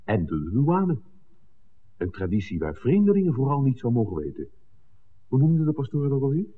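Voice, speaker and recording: An actor playing a shrewd, excitable filmmaker who reasons aloud emphatically in a 1960s Dutch radio drama. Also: male voice, medium pitch, normal speed. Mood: agitated, reasoning. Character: John, shrewd excitable filmmaker piecing together a smuggling plot. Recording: roomy reverb, phone-like old radio recording.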